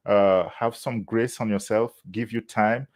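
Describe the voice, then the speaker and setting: A man from Burkina Faso, speaking English as a later language, giving measured advice as a university admissions officer, with many "uh" pauses. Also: fairly low pitch, fairly even intonation. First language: not English. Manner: measured, frequent "uh" fillers, warm laughs. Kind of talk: interview answers, advice to students.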